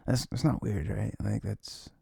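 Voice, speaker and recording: A young man talking in a casual, unscripted, intimate ASMR style, rambling and halting with a lot of "like", his gravelly voice often sinking toward a whisper. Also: no echo, studio quality. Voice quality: low, gravelly, breathy, often dropping near a whisper. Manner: rambling, halting, frequent "like", soft chuckles. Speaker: ASMR audio creator playing a comforting boyfriend.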